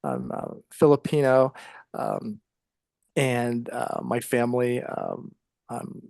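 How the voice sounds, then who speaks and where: A middle-aged American man talks as a guest in an interview. His medium-pitched voice has a noticeable creak and is recorded close and dry. He speaks conversationally, often halting on ums as he gathers his thoughts. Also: little pitch movement, darkish tone, no room sound. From Ohio, United States. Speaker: interview guest, psychologist and author.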